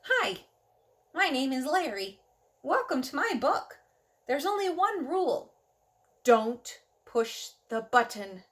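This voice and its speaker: A woman voicing an excitable, mischievous little monster from a picture book, playful and exaggerated. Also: high voice, slow pace, long pauses, exaggerated voice. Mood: cheerful, bossy. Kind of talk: animated children's storybook reading.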